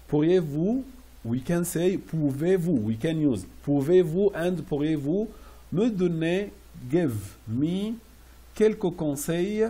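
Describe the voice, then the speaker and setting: A man teaching French classroom phrases, repeating each slowly and translating it into English. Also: fairly high pitch, warm tone, slightly rough. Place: Riyadh.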